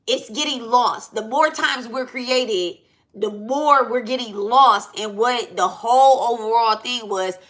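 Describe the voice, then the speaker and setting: A woman in her late thirties speaks in a bright, slightly high voice. She talks unscripted to her live audience about spiritual matters, blunt and animated. She peppers it with slang and checks in with "right?" and "okay?". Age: 30s. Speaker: spiritual reader and channel host.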